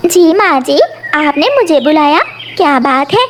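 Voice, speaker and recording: Woman as a high, gentle mother sparrow. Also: animated, measured pace, slight background noise. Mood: caring. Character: kind, helpful mother sparrow. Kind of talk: Hindi children's cartoon voice acting.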